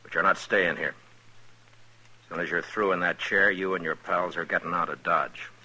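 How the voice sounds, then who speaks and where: Very deep, stern Old West marshal. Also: male voice, steady tone, moderate pace, bright, forceful tone, husky, exaggerated voice, poor audio. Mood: cool, firm. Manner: terse, dry, commanding. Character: stern, unflappable Old West town marshal, grown man. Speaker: lead actor and narrator. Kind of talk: 1950s radio western acting. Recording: old radio recording with music.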